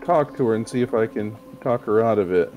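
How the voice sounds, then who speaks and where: A man's voice: fairly low pitch, brisk, highs cut off, faint music behind. Joking man in co-op game chat. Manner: joking, talkative. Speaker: co-op player. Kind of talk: casual let's-play chat.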